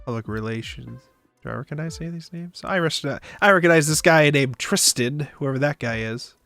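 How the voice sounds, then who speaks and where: A man's voice: fairly high pitch, fairly quick, creaky, fairly bright tone, soft background music. A man hosting a casual livestream, chatting informally and swearing now and then. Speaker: streamer, host.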